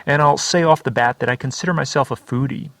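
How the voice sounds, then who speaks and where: A man hosting a travel podcast, narrating in a relaxed, wry storytelling way. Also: mid-range voice, quick pace, dry recording. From United States. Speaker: podcast host and reporter. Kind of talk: scripted podcast narration mixed with casual chat.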